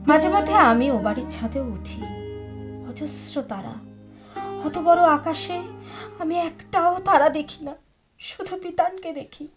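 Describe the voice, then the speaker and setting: A high-pitched, sorrowful woman played by an actress in an audio drama. She is married but longs for an old love, and speaks in a wistful, halting, emotional way. Music plays behind her voice. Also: animated. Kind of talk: Bengali audio drama acting. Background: music with woodwind.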